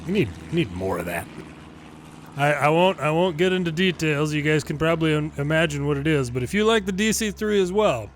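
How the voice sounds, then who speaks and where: A man's voice: fairly high pitch, animated, a little fast, slight background noise. An American man giving casual, folksy gameplay commentary and explanations as a former controller hosting a gaming video.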